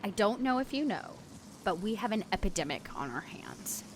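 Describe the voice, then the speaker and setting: A woman's voice: medium pitch, sing-song, moderate pace. Girl doing a perky cutesy vampire activist lady, dotes on animals, super earnest, voice goes all over, bit of noise. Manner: earnest, theatrically indignant, cutesy. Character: bubbly, passionate animal-loving female vampire activist doting on lapdog.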